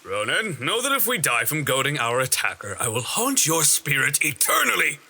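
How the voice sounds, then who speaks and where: A man's voice: fairly high pitch, huge pitch swings, normal speed, vocal fry, sharp tone, breathy edge, heavily acted. A man playing a stern, watchful lord with formal, commanding speech in a fantasy audio drama. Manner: formal, commanding, archaic phrasing. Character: stern, watchful male lord guiding his companion.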